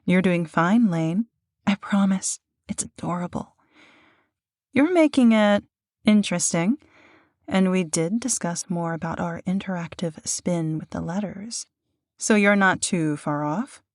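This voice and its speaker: A woman who works as a voice actor, co-hosting a scripted audio series with a gently teasing, reassuring manner. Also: lowish voice, unhurried, dry recording, studio quality. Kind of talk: scripted banter and introduction.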